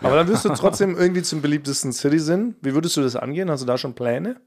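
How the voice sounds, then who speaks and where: A man's voice: fairly high pitch, expressive, racing, darkish tone. A man co-hosting a podcast in casual comedic banter, with playfully wordy, mock-formal phrasing. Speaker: podcast co-host.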